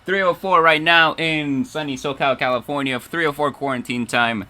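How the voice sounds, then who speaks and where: Bright, playful man hosting radio. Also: fairly high pitch, steady tone, moderate pace. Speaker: radio show host and DJ. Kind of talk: casual livestream radio DJ chat.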